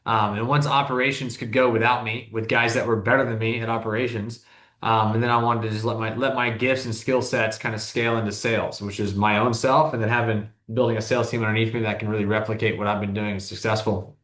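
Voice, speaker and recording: A man's voice: lowish voice, little pitch movement, fast talker, a bit bright, reverberant. A man in his thirties, a business owner talking as an interview guest in a rambling, casual way, full of restarts and "like". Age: 30s. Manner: rambling, heavy use of "like", frequent restarts.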